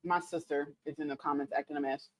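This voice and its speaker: A woman's voice: low voice, fairly even intonation, fast, poor audio. A woman, chatting casually on her cooking livestream, reading viewer comments aloud and laughing easily, a little tipsy. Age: late 30s. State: tipsy from mimosas.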